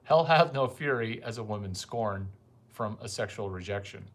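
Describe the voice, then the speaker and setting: A man's voice: lowish voice, expressive, normal speed. A man giving a rambling, crude casual monologue full of profane asides.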